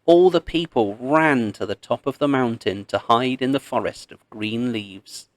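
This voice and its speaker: A man reading a myth aloud as a storybook narrator, expressive and measured, with pauses. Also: mid-range voice.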